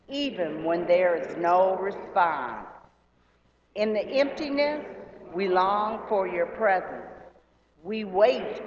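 A woman leading a unison prayer in church, solemn and measured, phrase by phrase. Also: medium pitch. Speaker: lay prayer leader at a church service. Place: large church sanctuary, indoors. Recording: distant, dull sound in echoey church hall.

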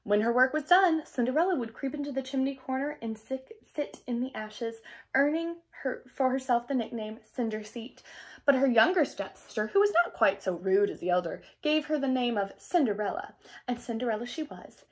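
Young female storyteller, highish and very animated, expressive children's read-aloud. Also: moderate pace. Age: young adult. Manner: animated, clearly paced storytelling. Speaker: storyteller reading a picture book aloud. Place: small indoor room.

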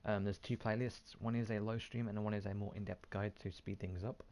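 A man's voice: on the low side, little pitch movement, a little fast, darkish tone. A man giving casual, offhand gameplay commentary, muttering and mildly swearing to himself. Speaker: gaming YouTuber.

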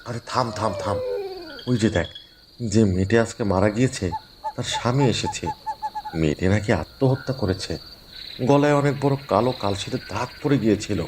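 A man voicing a greedy morgue worker who steals bodies, speaking hushed and urgent, with night noise behind. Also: fairly low pitch, brisk, long pauses, close to an everyday voice.

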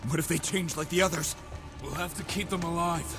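An actor playing a savage, ravenous cannibal raider in a video game, cruel, agitated and menacing. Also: male voice, fairly high pitch, fairly even intonation, brisk, over music, close to an everyday voice.

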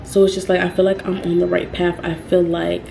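Low, flat-voiced young woman vlogging. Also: fairly quick, creaky, roomy sound, a little hiss or hum. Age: young adult. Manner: rambling, frequent ums and self-corrections. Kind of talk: casual talk to camera.

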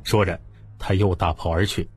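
Husky male audiobook narrator. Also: sits low, fairly even intonation, normal speed, darkish tone, no echo. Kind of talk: dramatized scripted story narration. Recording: music bed behind the voice.

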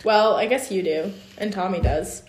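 A woman's voice: mid-range voice, normal speed, darkish tone. A 19-year-old woman chatting casually in a playful, teasing way. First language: Dutch. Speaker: guest on sibling's livestream. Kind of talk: casual chat. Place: room with bookshelves at home. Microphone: phone at arm's length. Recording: roomy indoor echo.

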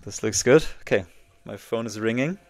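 A man in his twenties giving a casual walkthrough as a software tutorial presenter, close on a desk microphone. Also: on the low side, normal speed, faint music behind. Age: 20s. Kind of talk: casual screen-share walkthrough.

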